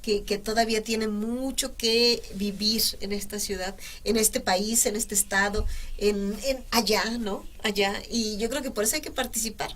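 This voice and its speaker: A woman's voice: mid-range voice, steady tone, normal speed, fairly bright tone. A woman journalist from Veracruz, speaking earnestly as an interview guest and repeating words for emphasis. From Veracruz, Mexico. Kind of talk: conversational interview.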